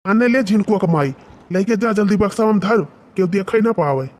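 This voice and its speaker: A village farmer husband, voiced by a woman at a medium female pitch, with a rustic Awadhi accent. He is bossy and careful, giving colloquial orders in a cartoon comedy. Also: animated, a little fast, a little mellow, slight background noise, close to an everyday voice. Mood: bossy, cautious. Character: village farmer husband, hungry, cautious about money, bossy with wife.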